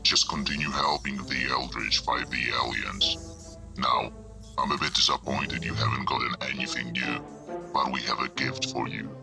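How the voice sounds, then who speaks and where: A man playing a shadowy, calm and persuasive conspirator in an audio drama, speaking in a measured, formal way. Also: very deep voice, gravelly, music in the background. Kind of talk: amateur audio drama acting.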